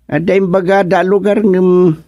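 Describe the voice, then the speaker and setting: A man's voice: sits high, flat delivery, moderate pace, mellow, dry recording, muffled recording. An actor playing a stubborn, reassuring older fisherman father with an Ilocano accent in a radio drama comedy. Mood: reassuring, dismissive.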